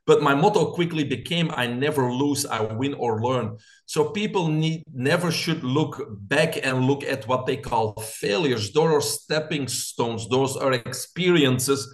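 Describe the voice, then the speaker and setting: A man's voice: medium pitch, a little slow, hardly any pauses. A middle-aged man from Belgium with a Belgian accent, a coach who talks through his life story in an earnest, motivational way as a podcast guest. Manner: earnest, motivational, speaks in lists. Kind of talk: interview storytelling.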